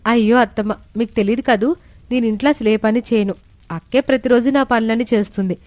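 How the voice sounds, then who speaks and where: A woman's voice: on the high side, fairly even intonation, fast talker, a little mellow, dry recording, close to an everyday voice. A woman voicing a cheerful, candid young working daughter-in-law in a family story.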